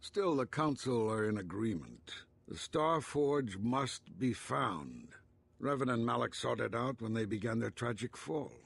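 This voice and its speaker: An actor playing a stern, cautionary Jedi council master in a video game, speaking formally in a raspy, creaky voice. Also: male voice, mid-range voice, lively intonation, unhurried, no room sound, full character voice. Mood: stern, resolute. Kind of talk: video game cutscene dialogue.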